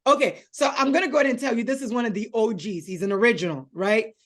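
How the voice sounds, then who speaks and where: A woman's voice: a little high, fast. A woman hosting an interview show, coaxing her guest through a guessing game with patient hints. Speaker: show host.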